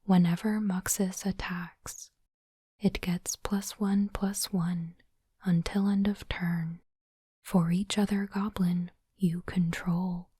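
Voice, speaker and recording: Woman whispering very slowly, ASMR style. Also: lowish voice, level tone, dry recording, clean studio sound. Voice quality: breathy, unvoiced whisper. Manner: slow, deliberate, softly reading aloud. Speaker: host of an ASMR unboxing channel.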